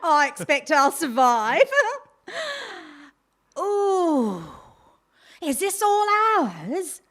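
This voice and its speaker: A woman's voice: high voice, sing-song, extremely slow, fairly bright tone, exaggerated voice. A woman playing a chatty, cheerful working-class Australian housewife in her thirties in an audio drama, with colloquial, wistful manner. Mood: delighted. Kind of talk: audio drama monologue and dialogue.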